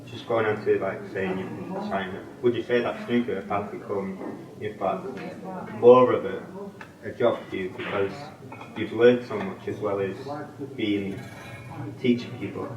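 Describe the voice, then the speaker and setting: Young man, low voice, crowd behind. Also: fairly even intonation, muffled recording. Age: young adult. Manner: hesitant, halting questions. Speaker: student interviewer. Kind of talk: interview questions. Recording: echoey, distant mic, crowd noise.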